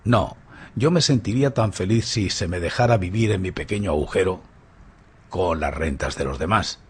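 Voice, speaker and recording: A man playing a suave, playful, boastful gentleman thief in a radio drama, with mocking, grandly courteous theatrical delivery. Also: deep voice, normal speed, husky.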